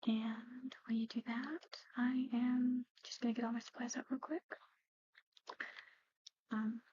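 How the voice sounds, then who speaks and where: A woman doing a whispered ASMR medical roleplay, explaining things gently and hesitantly as a matter-of-fact clinic practitioner. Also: on the high side, level tone, a little slow, lo-fi sound, a little hiss or hum. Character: soft-spoken, matter-of-fact woman at an eerie old clinic. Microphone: close-up ASMR microphone.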